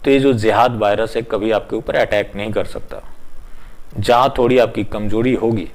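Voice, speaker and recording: A man's voice: on the low side, moderate pace. Emphatic, accusatory male commentator. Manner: accusatory, emphatic, rhetorical questions. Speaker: online commentator. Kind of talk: opinionated political commentary to viewers.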